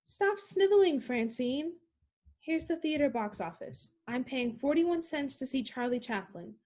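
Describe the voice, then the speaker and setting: A woman playing a bold, dismissive small-town woman of thirty-three in a 1940s-style radio play, brisk and scoffing. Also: high voice, lively intonation, a little mellow. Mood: scornful, impatient.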